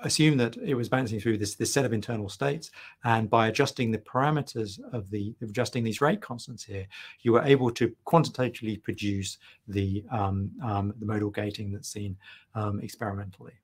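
A man's voice: lowish voice, fairly even intonation, fairly quick, breathy edge. A middle-aged man giving a keynote lecture over slides on a video call, full of ums and restarts. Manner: hesitant, many ums and restarts. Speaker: keynote speaker. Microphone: webcam on video call.

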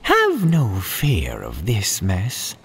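A man voicing a sly, sinister cat in a storybook, with an unhurried, drawn-out, lilting delivery. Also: lowish voice, wildly swooping pitch, a bit bright, slight background noise, full character voice. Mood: sly, reassuring. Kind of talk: storybook read-aloud.

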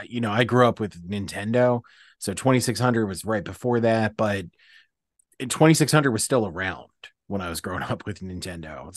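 A middle-aged man with a fairly low voice talks casually as a co-host. He is conversational and emphatic about his hobby, recorded close and dry. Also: no room sound. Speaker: co-host of a retro gaming show. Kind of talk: casual chat. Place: dark indoor room. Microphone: close studio mic with pop filter.

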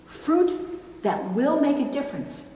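A middle-aged woman preaching a sermon from a pulpit, with deliberate, emphatic phrasing and pauses. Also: sits high, sing-song, warm tone. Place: indoors at a church pulpit. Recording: roomy, reverberant church, distant, faint noise.

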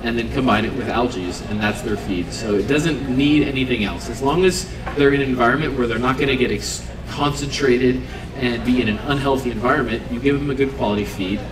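A man in his thirties giving an earnest, unscripted demo talk to an audience at an outdoor event, with many fillers and restarts. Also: mid-range voice. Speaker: seafood company representative. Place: outdoor event stage under trees. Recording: roomy sound from far off with crowd.

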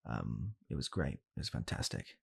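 A young man playing a blunt, callous father in an ASMR comedy roleplay, speaking softly and haltingly in a deadpan way. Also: very deep voice, creaky, husky, voice pushed down, exaggerated voice. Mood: wistful, regretful. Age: young adult. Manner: soft-spoken, halting, deadpan. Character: blunt, callous father calmly blaming his child. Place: small home room, indoors.

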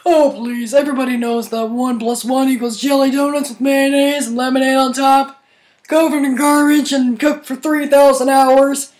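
A woman voicing a big, dim-witted male cartoon ogre in a goofy, slow-witted manner. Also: sits high, little pitch movement, darkish tone, lightly acted. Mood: smugly dopey.

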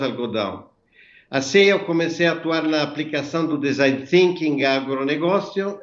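Italian guy in his 60s explaining stuff slowly, methodical, echoey dull video call. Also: fairly high pitch. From Italy. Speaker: interview guest, architect and designer. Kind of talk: explanatory interview answers. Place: indoor workroom, remote video call.

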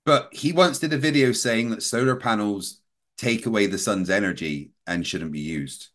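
A middle-aged man co-hosting a casual livestream over a video call, earnest and halting. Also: fairly low pitch, fairly bright tone. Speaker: co-host.

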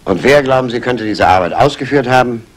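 An actor playing a brisk police inspector with clipped, authoritative delivery in a 1960s crime radio play. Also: male voice, mid-range voice, expressive, close to an everyday voice. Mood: brisk, commanding. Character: brisk, commanding male Scotland Yard police inspector. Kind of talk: 1960s German radio crime drama acting. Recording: faintly noisy vintage radio recording.

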